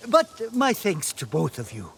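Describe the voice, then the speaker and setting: Game islander on the run: highish, very animated pitch, unhurried, earnest. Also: male voice, gravelly, slight background noise, full character voice. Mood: grateful. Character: shaken, grateful male islander on the run. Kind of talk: video game cutscene acting.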